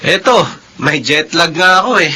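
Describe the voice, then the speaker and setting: An actor playing a charming, easygoing architect in a radio drama. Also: male voice, fairly high pitch, expressive, moderate pace, sharp tone, slight background noise. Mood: friendly. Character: confident unmarried architect, charming.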